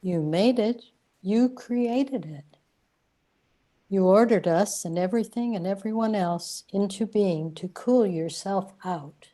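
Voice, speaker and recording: A woman acting in a radio play as a calm companion, reassuring and measured. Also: medium pitch, close to an everyday voice. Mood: firm. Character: calm female companion gently reminding and guiding.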